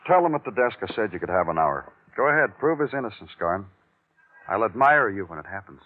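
An actor playing a world-weary, brooding police detective with a dramatic delivery in a 1950s radio noir drama. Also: male voice, on the low side, sing-song, brisk, background noise. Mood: terse, skeptical. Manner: brooding, dramatic, clipped in dialogue. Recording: phone-like old radio recording with music.

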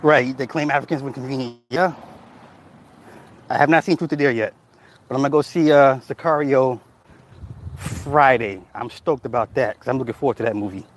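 A man's voice: medium pitch, moderate pace, long pauses, slight background noise. A man hosting a casual livestream who reads chat comments and tells stories in a loose, profane way, often laughing. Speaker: livestream host reading chat.

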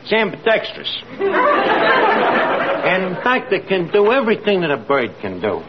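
A gullible, boastful bar manager in a vintage radio comedy, with slangy New talk that swings up and down in pitch. He blusters in bad grammar. The old recording is muffled and noisy. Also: wildly swooping pitch, moderate pace, over a noisy crowd. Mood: boastful, explaining. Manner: colloquial, ungrammatical, blustering.

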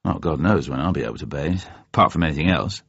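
A narrator as a low, husky, wry wounded man. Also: moderate pace, no echo, muffled recording, full character voice. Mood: bitter. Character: wry, wounded man, gruff but sentimental.

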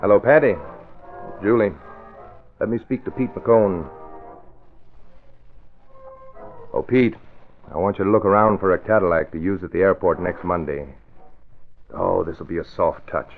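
A man's voice: low-pitched, normal speed, pauses often, narrow, tinny audio, faint music behind. An actor playing a sly, cocky American gangster in an old-time radio crime drama, slangy and familiar in his talk. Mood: businesslike. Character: sly tough American gangster, crime boss Julian Carey. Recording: thin, band-limited vintage radio recording.

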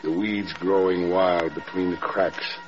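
An actor playing a reflective former American army major, telling his story in a measured vintage radio drama style. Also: low-pitched, fairly even intonation. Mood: wistful. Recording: muffled old radio recording with loud music.

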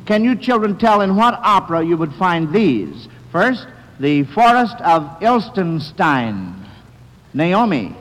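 Animated high-voiced man hosting old radio quiz. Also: takes it slowly. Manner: folksy, encouraging, talking to children. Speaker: quiz show host. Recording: old radio recording, reverberant hall, background noise.

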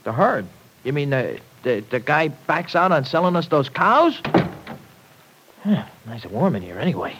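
An actor playing a brash, skeptical young working man in an old-time radio serial, slangy, folksy and excitable. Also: fairly high pitch, normal speed, noisy background. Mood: curious, friendly. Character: brash, folksy young dairy worker, skeptical, protective boyfriend. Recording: vintage radio drama recording.

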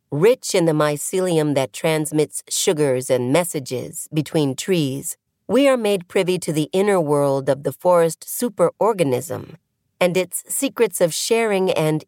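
A woman reading a scripted audio essay in a measured, earnest manner. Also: deep voice, expressive, darkish tone, no echo, clean studio sound.

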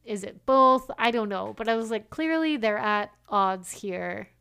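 A woman's voice: mid-range voice, a little slow, gravelly, pushed and bright, clear, resonant tone. A woman co-hosting a podcast, chatting casually and acting out quoted lines with lots of "like" fillers. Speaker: podcast co-host. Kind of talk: casual chat.

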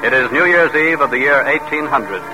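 A man's voice: medium pitch, fairly quick, loud music behind the voice, rough recording. A man announcing and narrating a radio drama in formal, declamatory 1940s style. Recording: vintage radio broadcast.

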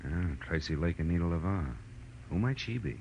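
An actor playing a brash, wisecracking private eye with clipped, sardonic delivery, narrating and acting in 1940s radio noir. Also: male voice, deep voice, expressive, fairly quick, vocal fry, highs cut off. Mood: wry narrating. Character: brash, wisecracking male hard-boiled private detective. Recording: faintly noisy old radio recording.

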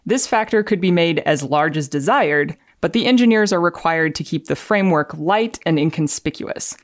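A woman's voice: low voice, lively intonation, moderate pace, dry recording. Dry, close-miked woman explaining things. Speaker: engineer guest. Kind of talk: explanatory talk with dramatic readings.

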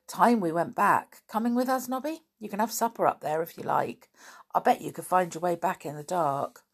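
A woman's voice: fairly low pitch, normal speed, vocal fry, breathy edge. A woman voicing an eager, inquisitive boy in an old-fashioned children's adventure story, with a jolly, exclamatory manner. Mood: cheerful, eager.

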